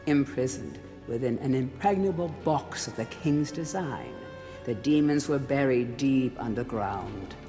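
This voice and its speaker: An actor playing a grave chronicler of an old fantasy kingdom, narrating a game's storybook history in a solemn, theatrical way. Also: male voice, mid-range voice. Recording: long hall reverb, music underneath. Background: music.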